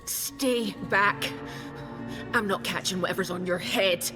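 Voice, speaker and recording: A woman acts out a jailed smuggler in a fantasy video game. She is blunt, scornful and defiant, with a medium-pitched voice. Music is clearly heard underneath. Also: takes it slowly, close to an everyday voice. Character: defiant, sardonic female smuggler held prisoner. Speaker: game character. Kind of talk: video game dialogue acting. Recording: orchestral game music behind the voice. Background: loud music.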